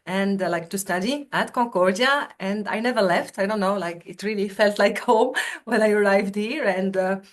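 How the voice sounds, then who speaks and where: A woman's voice: medium pitch, moderate pace. Chatty woman presenting over video call. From Tunisia. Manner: chatty, many fillers and restarts. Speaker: university student recruitment officer. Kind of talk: webinar presentation.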